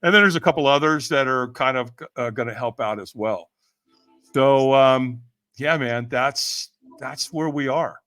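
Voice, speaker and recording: A man's voice: medium pitch, unhurried, fairly bright tone. Older man, emphatic slangy storyteller. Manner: emphatic, slangy, acts out remembered dialogue. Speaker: podcast guest, firewalking event leader. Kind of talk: energetic storytelling in casual interview.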